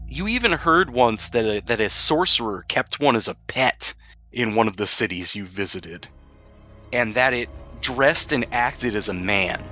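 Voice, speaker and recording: A man's voice: medium pitch, sharp tone. A man narrating a tabletop roleplaying game as game master, switching between dramatic description and casual rules talk.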